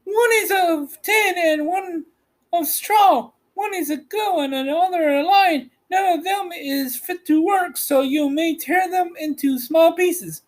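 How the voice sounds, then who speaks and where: Man acting out a wicked witch, high-pitched, shrill, imperious, angry, drawn-out commands, storybook villain. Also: low-quality recording. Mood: scornful, menacing.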